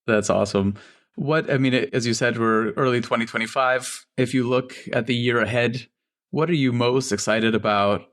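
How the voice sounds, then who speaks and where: A man with an average-pitched voice hosts an interview podcast. He talks casually, with a playful streak, and affirms his guest between questions. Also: fairly even intonation, normal speed, fairly bright tone. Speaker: podcast host.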